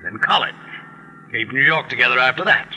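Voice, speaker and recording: A man's voice: mid-range voice, lively intonation, brisk, pushed and bright, full character voice, poor audio. A man playing a suave, sardonic American drama critic with a flippant manner, in 1940s radio acting style. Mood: breezy, sardonic. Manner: flippant, clipped, sarcastic. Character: suave, sardonic, vindictive male New York drama critic. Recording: vintage 1940s radio broadcast.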